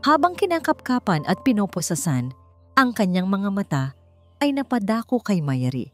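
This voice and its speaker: A woman narrating a scripted romance drama in a measured, suspenseful and melodramatic manner. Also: medium pitch, big pitch swings, no echo. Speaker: story narrator. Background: music.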